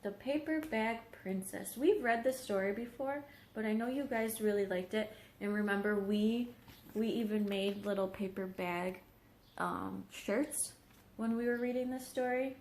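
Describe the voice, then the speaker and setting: A woman's voice: medium pitch, unhurried, darkish tone, slight background noise. A woman teacher reading a story aloud to young children, explaining things simply and checking in with questions. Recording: roomy, echoing home recording.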